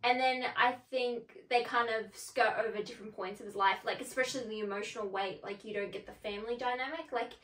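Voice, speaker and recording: A woman co-hosting a movie review show, chatting casually in an animated way with hesitant phrasing. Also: a little high, quick pace, few pauses. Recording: echoey room, distant mic.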